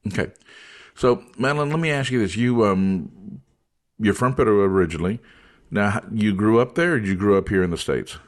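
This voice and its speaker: A man's voice: lowish voice, moderate pace. A man hosting a podcast interview, talking in a casual, friendly way with small jokes. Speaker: podcast host.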